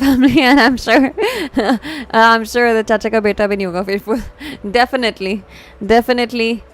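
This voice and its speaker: A woman with an Indian accent hosting a casual livestream, chatting informally with viewers and slipping between English and Hindi. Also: on the high side. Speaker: VTuber livestream host. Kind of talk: casual livestream chat reacting to fan art and viewers.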